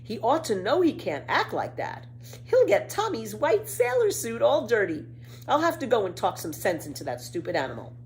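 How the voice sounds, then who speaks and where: A woman's voice: fairly high pitch, wildly swooping pitch, moderate pace, slight background noise, pitched above the performer's own voice. A woman voicing a bold, playful young girl from a children's storybook, with a breezy, cheeky, exclamatory delivery. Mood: scornful, breezy.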